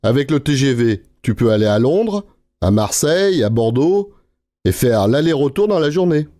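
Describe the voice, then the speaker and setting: A man with a mid-pitched, relaxed voice plays his part in a learner dialogue. He explains things clearly and steadily. The recording is dry and close. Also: normal speed, no room sound.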